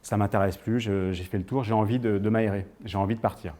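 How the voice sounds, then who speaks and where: A man's voice: on the low side, fairly even intonation, fast, dark tone. A man of thirty-nine, giving an informal personal talk to an audience in a hesitant storytelling manner. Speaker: guest speaker.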